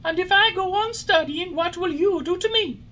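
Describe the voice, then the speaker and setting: Squeaky, cheeky marionette boy. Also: normal speed, bright, forceful tone. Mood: defiant questioning. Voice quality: squeaky falsetto. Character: cheeky, defiant wooden marionette boy, mocking.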